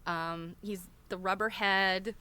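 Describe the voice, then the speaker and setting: A woman's voice: mid-range voice, fairly even intonation, takes it slowly, sharp tone, clear, resonant tone. A woman chatting casually to regular viewers as a livestream host, rambling along in a conversational way. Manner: chatty, rambling, often breaks into laughter.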